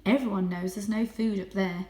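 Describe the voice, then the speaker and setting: A woman's voice: mid-range voice, fairly even intonation, normal speed, darkish tone, lightly acted. A woman voicing a tough, scornful female street cat in a storybook, blunt and questioning. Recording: roomy, echoing indoor sound.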